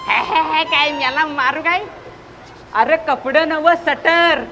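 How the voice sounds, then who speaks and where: Casting: amateur performer as high-pitched bullying villager, impatient and scolding, comic stage delivery. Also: slow, sharp tone, a little hiss or hum, full character voice, poor audio. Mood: threatening, bossy. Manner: scolding, interrupting, firing repeated questions. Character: exasperated, bullying villager demanding his money back. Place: school stage event, live telecast.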